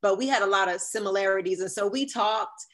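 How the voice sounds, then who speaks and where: Woman in her fifties, deep sultry voice, animated storyteller, podcast guest. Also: fairly quick. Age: 50s. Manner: animated, acts out quoted dialogue. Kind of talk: storytelling in a conversational interview.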